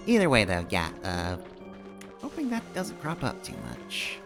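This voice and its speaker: A man gives casual live game commentary in a rambling, analytical way with a wry edge. His pitch is average and moves around a lot, and game music plays clearly behind him. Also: huge pitch swings, measured pace. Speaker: game streamer. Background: video game music.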